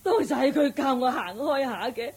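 An actress playing a hurt, stubborn elderly mother-in-law in a Hong Kong Cantonese radio drama, halting and plaintive. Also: sits high, animated, a little mellow, slightly rough, exaggerated voice. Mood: tearful, insistent.

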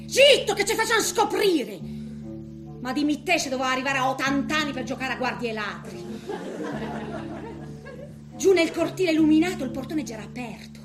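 A woman's voice: high voice, animated, normal speed, music in the background. An actress playing a grumbling, easily frightened eighty-year-old woman in a theatrical comic monologue, colloquial and full of exclamations. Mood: agitated, frightened. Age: 80s character. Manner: colloquial, exclaiming, chattily reliving her misadventures.

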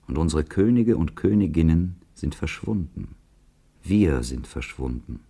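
An actor playing a grave, doom-laden man in a literary radio play, speaking in a portentous, deliberate manner. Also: a bass voice, creaky, dark tone, breathy edge, heavily acted.

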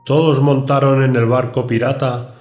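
Male narrator for children's fairy tale: middle pitch, scripted delivery, echoing room, faint music. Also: flat delivery, normal speed. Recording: echoey voice over soft music.

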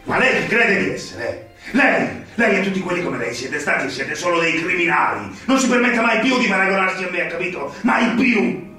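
An actor playing a stern, indignant young magistrate in a radio play, with an emphatic, commanding delivery. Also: male voice, high voice, animated, normal speed, bright, forceful tone, faint music behind. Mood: outraged. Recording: very echoey, distant, faintly noisy recording.

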